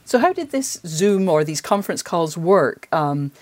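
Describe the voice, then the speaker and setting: A woman's voice: fairly low pitch, big pitch swings, unhurried, a little mellow. A woman speaking as a podcast host, in a conversational interviewing style.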